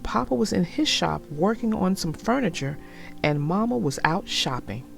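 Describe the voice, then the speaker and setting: A woman narrating a children's storybook aloud in a deliberate, expressive way. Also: on the low side, gravelly, soft background music.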